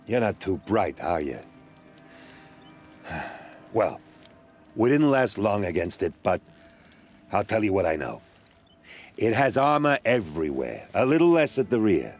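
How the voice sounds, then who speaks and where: Deep-voiced, sardonic mercenary. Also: male voice, sing-song, unhurried, long pauses, faint music behind, heavily acted. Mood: dry, resigned. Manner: sardonic, grumbling, colorful complaints. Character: bitter, wry middle-aged male mercenary scout. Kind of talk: video game cutscene dialogue.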